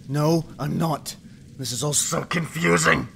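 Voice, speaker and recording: A man's voice: fairly high pitch, a little slow, creaky, fairly bright tone, a little hiss or hum, full character voice. A man acting a hot-tempered, obsessive, weary police detective in an amateur radio drama, muttering and swearing. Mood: troubled. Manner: brooding, muttering to himself, swearing. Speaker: voice actor in amateur radio play.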